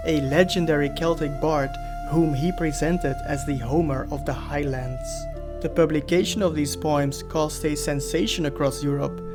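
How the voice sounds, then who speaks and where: A man hosting a channel, giving a scripted explainer narration in a measured, even storytelling manner. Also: on the high side. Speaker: host. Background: faint background music.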